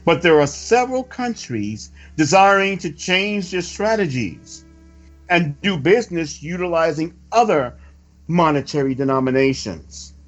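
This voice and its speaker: Slow, animated male religious orator, slightly high-pitched. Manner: solemn, formal, measured oratory. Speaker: religious reader delivering a prophecy report. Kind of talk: sermon-like scripted reading. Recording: dull lo-fi recording with music behind. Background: music.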